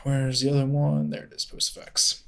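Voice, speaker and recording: A man's voice: medium pitch, sing-song, normal speed. A man with a congested, nasal head-cold voice, casually hosting a live-stream tutorial and greeting chat viewers with plenty of ums. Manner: loose and chatty, with frequent ums and self-corrections.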